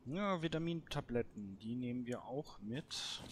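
Slow-paced man commenting on gameplay. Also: mid-range voice, expressive, a little hiss or hum. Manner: thinking aloud in short, halting phrases. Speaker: host of a gameplay series. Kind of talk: casual let's play commentary.

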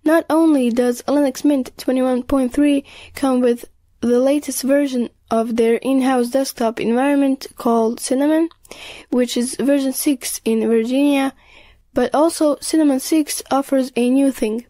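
A woman reading scripted narration for a tech news video in a measured, explanatory way. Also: high-pitched, fairly even intonation, mellow, dry recording.

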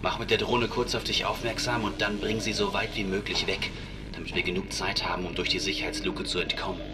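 An actor playing a decisive, commanding spaceship captain in a sci-fi horror radio drama. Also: male voice, low-pitched, level tone, a little fast, noisy background, close to an everyday voice. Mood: tense, hushed.

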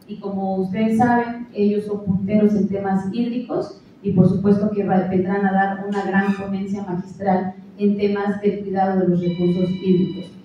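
A woman in her thirties with a Mexican accent announces event plans at a press conference, speaking formally and steadily with frequent "eh" pauses into a handheld mic at a panel table. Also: mid-range voice, slight background noise. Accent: Mexican Spanish. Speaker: chamber president announcing an event. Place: large indoor conference hall. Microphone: handheld mic at a panel table, filmed from a far camera. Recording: echoey hall, distant and dull.

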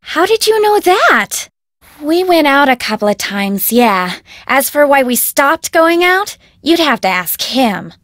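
High, animated, spoiled young American heiress. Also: female voice, measured pace, no room sound, studio quality, heavily acted. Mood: miffed. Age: young adult. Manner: perky, dramatic, valley-girl casual phrasing. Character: spoiled, gushing rich young heiress, bubbly and bossy. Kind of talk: video game voice acting.

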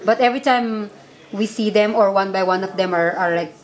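A woman's voice: medium pitch, fairly quick, rough recording. A woman in her thirties with a Filipino accent, chatting and laughing with friends at a home dining table, mixing English with Tagalog. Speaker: vlog co-host. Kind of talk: casual chat over a meal.

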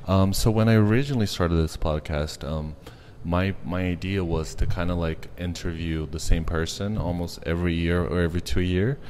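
A man's voice: low-pitched, steady tone, moderate pace, hardly any pauses, darkish tone, a little hiss or hum. A man hosting a podcast interview, full of praise and chatty, often saying like and you know. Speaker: podcast host. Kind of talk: interview conversation.

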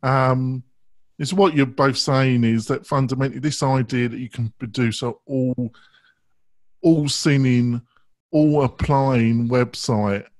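Male moderator, fifties. Mid-range voice, dry close-miked sound, deliberate and halting phrasing. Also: mid-range voice, a little mellow, no echo. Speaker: host. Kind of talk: round-table moderation. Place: indoor room at home. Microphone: close boom-arm microphone.

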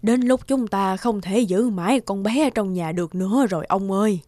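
A woman's voice: medium pitch, normal speed, warm tone, dry recording, close to an everyday voice. Kindly elderly wife, female actor, doting and emotive cartoon dub, close dry recording, faint music. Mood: sad. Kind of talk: Vietnamese children's cartoon dubbing.

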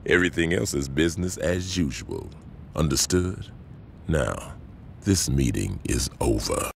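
A man's voice: rumbling low, big pitch swings, a little slow, vocal fry, slight background noise, exaggerated voice. A man playing a stern, blunt middle-aged gang boss in a video game cutscene. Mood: stern, commanding. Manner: blunt, authoritative, profane.

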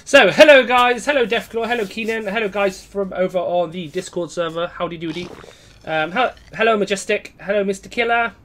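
A young man with a hoarse, fading voice, chatting casually to viewers as he live-streams a game at home on a desk mic. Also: high voice, fairly quick, a bit bright, a little hiss or hum. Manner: chatty, greets viewers, reads chat aloud. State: hoarse, voice going.

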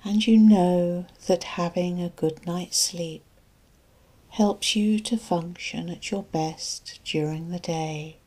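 A woman with a fairly low, soft voice leads a sleep hypnosis session. Her delivery is very slow and soothing, with long gaps between short phrases. The tone is breathy and husky, like a therapist easing a listener toward rest. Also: steady tone. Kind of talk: guided relaxation and sleep hypnosis narration.

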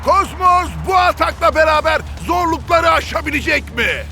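Cartoon football announcer: theatrical, excitable, high gravelly voice. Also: male voice, moderate pace, faint music behind, exaggerated voice. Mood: dramatic, excited. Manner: theatrical, grandiose. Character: excitable male football commentator putting on reality-show announcer voice. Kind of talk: cartoon sports commentary.

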